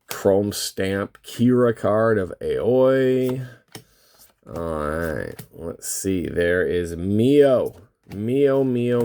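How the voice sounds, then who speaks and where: A man with a voice worn hoarse by a cold, hosting a casual, unscripted card box opening and rambling as he thinks aloud. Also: lowish voice, darkish tone. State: losing his voice from a lingering cold. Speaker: host of a trading card box-break channel.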